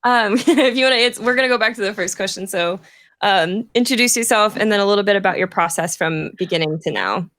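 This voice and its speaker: A woman's voice: medium pitch, a little fast, fairly bright tone, no echo. A woman moderating a casual live-streamed conversation, passing questions along to guests. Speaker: host, moderator.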